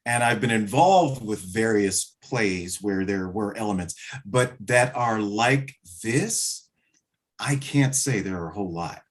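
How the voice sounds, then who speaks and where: A man answering as an interview guest, speaking conversationally in reflective, measured phrases. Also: on the low side. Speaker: interview guest, play director.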